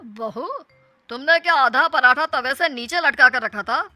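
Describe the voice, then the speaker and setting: A woman's voice: high voice, measured pace, bright, forceful tone, no echo, faint music behind, exaggerated voice. A woman voicing a fussy, greedy older father-in-law in a Hindi cartoon story. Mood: puzzled, coaxing. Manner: questioning, fussy.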